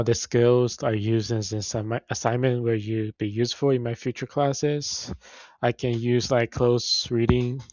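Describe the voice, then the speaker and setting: A young male student with a Mandarin Chinese accent talks through his class assignment in a flat, gravelly voice, stopping and restarting often. The recording is dry and somewhat muffled. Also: mid-range voice, no room sound. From China. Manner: halting, frequent restarts and fillers. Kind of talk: reading a class presentation.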